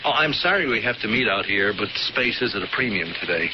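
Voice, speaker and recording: A man's voice: on the low side, animated, fairly quick, close to an everyday voice. An actor playing a polite, probing policeman. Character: calm, skeptical police officer. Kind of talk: 1970s radio drama acting. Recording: dull old radio broadcast with hiss.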